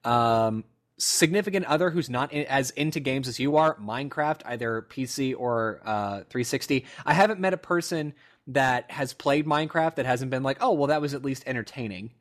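A man's voice: mid-range voice, moderate pace. A young man in his twenties co-hosting a casual gaming podcast, rambling through stories with lots of fillers and laughter. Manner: rambling storyteller, laughs often, many fillers. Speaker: podcast co-host.

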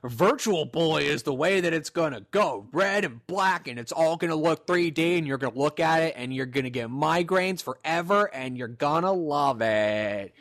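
A man co-hosting a casual gaming podcast, speculating excitedly and rambling with lots of fillers. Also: on the high side, normal speed, hardly any pauses. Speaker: podcast co-host.

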